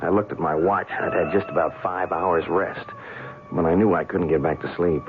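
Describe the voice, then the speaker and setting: Actor as a low-voiced, skeptical radio drama investigator. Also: male voice, fairly even intonation, normal speed, music in the background, natural voice. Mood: reflective narration. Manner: colloquial, hesitant, wry. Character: skeptical, wry, weary male scientific investigator smitten by a mysterious girl. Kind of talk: old-time radio drama acting with first-person narration. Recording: noisy, muffled old radio show recording.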